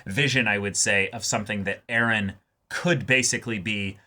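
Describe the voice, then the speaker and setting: A man co-hosting a reaction show, casually analysing an anime in a wordy, halting way as he theorizes at length. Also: lowish voice, steady tone, bright, forceful tone.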